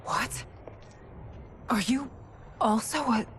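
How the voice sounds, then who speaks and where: A woman's voice: on the high side, takes it slowly, slight background noise. A woman playing a noble warrior queen in a video game, speaking in an earnest, formal way. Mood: bewildered. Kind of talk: cinematic video game acting.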